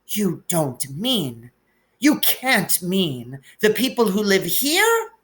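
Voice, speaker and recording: Give the storybook's older witch professor, as played by a man reader, a high, slow voice. She is a stern, anxious woman, easily astonished and quick to disapprove. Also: huge pitch swings, fairly bright tone, full character voice. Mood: shocked, indignant.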